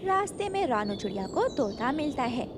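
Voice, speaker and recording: A woman's voice: high-pitched, very animated, moderate pace, noisy background. A woman narrating a children's cartoon story as a scripted storyteller.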